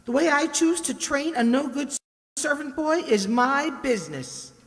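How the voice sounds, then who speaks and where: A cruel, sharp-tongued cook acted by a woman in a radio play for children. The voice is high, scolding and full of threat, and it rings in a reverberant hall. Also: expressive, unhurried. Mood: threatening. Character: cruel bullying cook, sharp-tongued mistress of a servant boy. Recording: hall-like reverberant stage sound.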